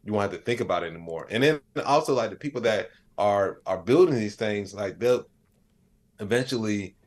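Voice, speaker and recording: A man's voice: lowish voice, expressive, fairly quick, a little mellow. A man speaking as a podcast guest in a casual, rambling, slangy conversational style. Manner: rambling, slangy, frequent "you know what I'm saying". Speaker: podcast guest, AI expert and comedian.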